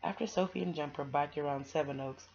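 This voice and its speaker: A woman's voice: deep voice, a little fast, gravelly, a little mellow, highs cut off. A woman doing a children's storytime, reading and chatting to listeners in an encouraging, affectionate way.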